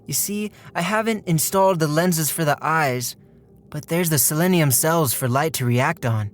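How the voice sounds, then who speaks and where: An actor playing a stubborn, proud, obsessed robot builder in an audio drama, terse, earnest and defensive. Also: male voice, on the high side, moderate pace, natural voice.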